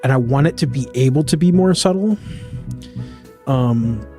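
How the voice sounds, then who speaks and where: A man's voice: medium pitch, lively intonation, moderate pace, warm tone, soft background music. A man livestreaming and chatting casually with viewers in a put-on Scottish accent, rambling with lots of fillers and restarts. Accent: put-on Scottish, a mix of Glaswegian and Edinburgh. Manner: rambling, with many fillers and self-corrections. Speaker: live streamer. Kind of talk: casual chat with stream viewers.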